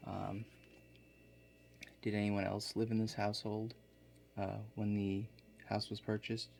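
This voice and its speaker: Man playing a slow, low-voiced laid-back builder. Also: monotone, vocal fry, a little mellow. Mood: quietly inquisitive. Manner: halting, low-key, matter-of-fact. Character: Chuck, laid-back male construction contractor. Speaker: ASMR role-play performer. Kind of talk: ASMR role-play, soft-spoken. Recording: faint background noise.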